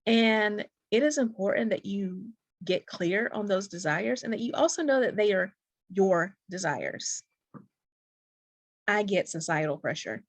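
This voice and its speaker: A young adult woman and dating coach, speaking softly and encouragingly in a direct-to-camera talk. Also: mid-range voice, no echo. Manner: soft-spoken, warm and encouraging.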